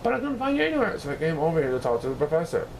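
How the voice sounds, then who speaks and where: Caring storybook friend read by a man: eager, earnest, fairly high voice, noisy background. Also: sing-song, rapid-fire, vocal fry. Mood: explaining, relieved. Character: caring man, a little monkey's loyal friend.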